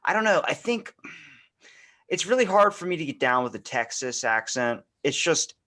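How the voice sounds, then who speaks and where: A man's voice: on the high side, very animated, moderate pace, sharp tone. An American man hosting a podcast in casual chat, rambling and acting out little quoted remarks as he goes. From United States. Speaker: podcast host.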